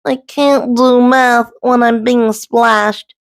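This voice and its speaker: A woman's voice: fairly high pitch, steady tone, pitched above the performer's own voice. A woman voicing a grumpy, reluctant male goose in a storybook, mumbling and groaning glumly. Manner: slow, glum mumbling and groaning. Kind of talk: children's storybook read-aloud.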